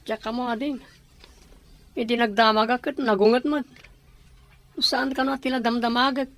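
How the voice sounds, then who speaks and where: A woman's voice: a little high, steady tone, normal speed, a bit bright, lightly acted. An actress playing a bitter, resentful older brother, still a boy, in a radio drama. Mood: sullen, dismissive. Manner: sullen, resentful.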